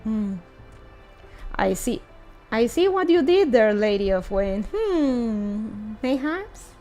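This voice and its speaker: High-voiced woman streamer musing aloud. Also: big pitch swings, slow pace, mellow, soft background music. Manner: musing, hums and interjects reactions. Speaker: streamer reading a video game story aloud. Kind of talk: casual readalong with commentary.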